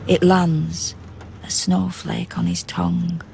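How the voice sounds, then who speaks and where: A woman narrating a poetic radio drama in a measured, hushed, deliberate way, as a grave observer of men sleeping rough. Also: on the low side, little pitch movement, heavily acted. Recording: faint background noise and ambience.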